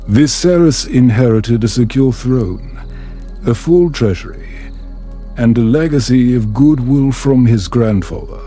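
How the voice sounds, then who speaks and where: A man narrating a bedtime story in a calm, soothing, measured manner. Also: mid-range voice.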